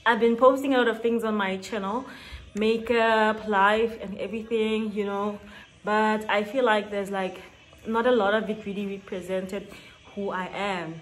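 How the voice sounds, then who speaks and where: Slow-talking woman in her twenties. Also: mid-range voice, steady tone, poor audio. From South Africa. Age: 20s. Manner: chatty, laughs often, drawn-out emphasis. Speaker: YouTuber. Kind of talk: casual get-to-know-me vlog. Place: small indoor room with shelves.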